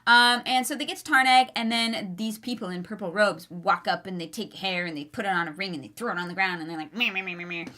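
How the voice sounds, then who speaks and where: A woman's voice: mid-range voice, fast, few pauses, pushed and bright. A woman hosting a book club podcast, chatting casually with lots of fillers. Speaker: podcast host.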